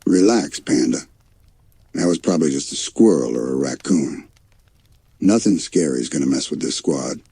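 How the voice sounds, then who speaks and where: A man's voice: very deep voice, lively intonation, moderate pace, vocal fry, warm tone, slightly rough, exaggerated voice. A man voicing a goofy, boastful young male cartoon bear in a bedtime story, enthusiastic and slangy. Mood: breezy bravado.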